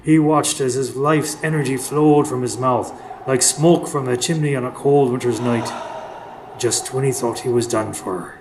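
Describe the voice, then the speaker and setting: A man's voice: medium pitch, fairly even intonation, moderate pace, close to an everyday voice. A man acting in a radio drama as a seasoned older workmate who tells spooky folk tales with folksy drama. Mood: ominous. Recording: clearly noisy live stage recording.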